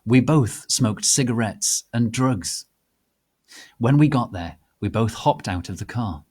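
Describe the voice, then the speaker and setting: A man giving a theatrical, deliberate dramatic reading of a piece of fan fiction. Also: mid-range voice, animated, darkish tone, slightly rough. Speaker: reader.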